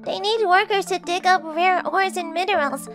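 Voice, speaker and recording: A woman's voice: very high voice, normal speed, fairly bright tone, faint music behind. A woman voicing a worried, earnest little girl in an anime dub.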